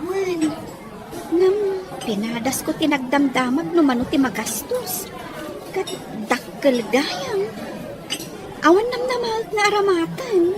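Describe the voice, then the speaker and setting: A woman's voice: extremely high pitch, animated, slow, long pauses, a crowd in the background, noisy background, full character voice. A woman playing an ambitious, poor teenage Filipina with an Ilocano accent who dreams of a better life, in an audio drama. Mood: determined, worried. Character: ambitious poor young Filipina, sixteen, dreaming of marrying a foreigner.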